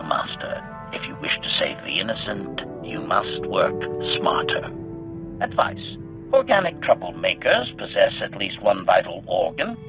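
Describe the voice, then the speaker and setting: Gravelly, clipped killer droid. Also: male voice, on the low side, exaggerated voice. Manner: clipped, labels each remark with one word. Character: cold assassin droid, male, murderous and formal. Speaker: combat droid companion. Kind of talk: video game voice acting.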